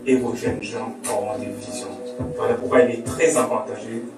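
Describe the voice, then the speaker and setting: A twenty-one-year-old man speaking in a measured way and reciting a Bible verse. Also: medium pitch, level tone. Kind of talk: recorded youth testimony citing scripture. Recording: echoey room, from far off. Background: music.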